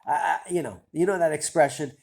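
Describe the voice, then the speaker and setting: A man's voice: fairly high pitch, a little fast, creaky, a little mellow. A man with a nasal voice and a stuffy nose from a cold, hosting a stock-picking channel and thinking aloud in a hesitant, self-deprecating ramble. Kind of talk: casual thinking-aloud commentary.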